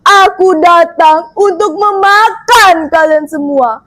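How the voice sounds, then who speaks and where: A woman voicing a menacing old man-eating giantess in a folktale, growling and bellowing with drawn-out calls. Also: very high voice, fairly bright tone, no echo, clean studio sound, exaggerated voice. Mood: menacing, ravenous. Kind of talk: theatrical folktale storytelling.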